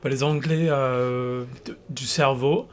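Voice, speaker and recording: A man talks in relaxed chat with a dry, understated wit. His voice sits at an ordinary middle pitch. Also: slow pace, slight background noise. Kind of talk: casual chat.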